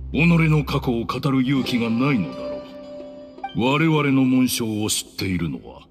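An actor playing a stern, unforgiving old temple leader in a video game, with solemn, archaic and imperious speech. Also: male voice, fairly low pitch, lightly acted. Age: older. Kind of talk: dramatic video game acting. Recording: dramatic music behind the voice.

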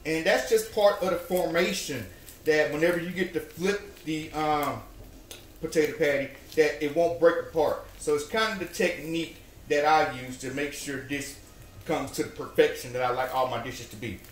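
A folksy man walks through a recipe step by step, repeating himself as he goes, his voice sitting a touch high for a man. He is recorded in an echoey kitchen with faint frying sizzle behind him. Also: moderate pace, slight background noise. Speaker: home cooking show host. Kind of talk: casual cooking demonstration. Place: home kitchen at the stove. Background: oil frying and sizzling in a skillet.

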